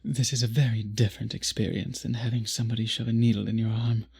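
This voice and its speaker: A man playing a possessive, doting male vampire in an intimate ASMR roleplay, speaking formally in a husky, breathy murmur that often drops to a whisper. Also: lowish voice, level tone, quick pace, darkish tone, exaggerated voice. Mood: tender, coaxing.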